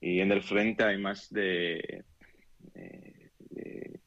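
Deep-voiced young Israeli man, dull audio. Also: steady tone, creaky, fairly bright tone, no echo. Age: 20s. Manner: halting non-native speech, searching for words. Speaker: interviewee giving an eyewitness account. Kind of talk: unscripted interview. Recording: dull, band-limited remote call audio.

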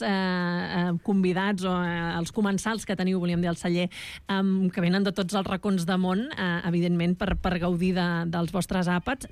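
A woman's voice: medium pitch, no echo. Hesitant woman radio interviewer, dry. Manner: long hesitant questions with many fillers.

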